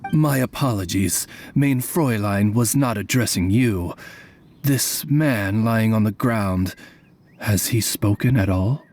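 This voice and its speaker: A man voicing a composed, courteous male raven familiar who speaks formally. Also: on the low side. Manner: polite, formal, measured. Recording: soft game music behind.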